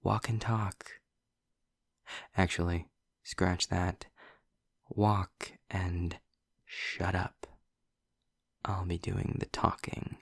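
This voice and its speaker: A man's voice: fairly even intonation, drawn-out words, pauses often, no echo, studio quality, full character voice. A man playing a snarky, impatient male demon in an audio roleplay, speaking to the listener with theatrical, sardonic condescension. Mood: mocking, impatient. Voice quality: low, gravelly, husky with breathy drops. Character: snarky, impatient male demon trapped in his own hell.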